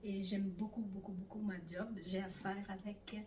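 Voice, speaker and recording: A middle-aged woman from Italy speaks French with a clear Italian accent. Her speech is casual and hesitant, full of fillers. She sounds set back from the mic in a roomy space with faint background noise. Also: mid-range voice, flat delivery, normal speed, warm tone, far from the mic. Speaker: guest. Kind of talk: casual interview.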